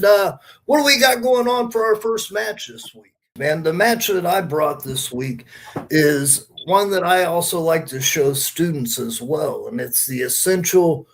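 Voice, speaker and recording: High-pitched man hosting a casual podcast. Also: poor audio. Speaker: podcast host. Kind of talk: casual live podcast chat.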